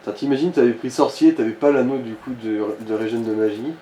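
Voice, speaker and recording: Mellow-voiced man in an echoey, noisy room. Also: mid-range voice, a little fast. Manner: casual, crude slang and swearing. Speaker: let's play co-commentator guiding the player. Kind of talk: casual gaming chat. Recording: roomy echo with clear background noise.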